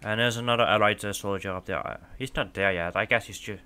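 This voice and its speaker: A man's voice: fairly low pitch, fast, pushed and bright, clear, resonant tone. A young Dutch man, speaking English as a second language, casually commenting while he plays a shooter, rambling with lots of fillers and restarts. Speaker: gaming channel host. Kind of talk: casual let's play commentary.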